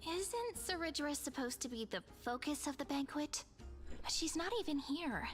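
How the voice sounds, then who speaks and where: A woman's voice: high voice, lively intonation, normal speed, soft background music. A woman voicing a polite, earnest young female traveler in a video game. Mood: polite, puzzled.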